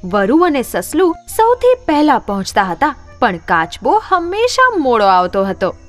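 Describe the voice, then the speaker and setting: A woman narrating a scripted children's story in an expressive storytelling manner. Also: sits high, measured pace, soft background music.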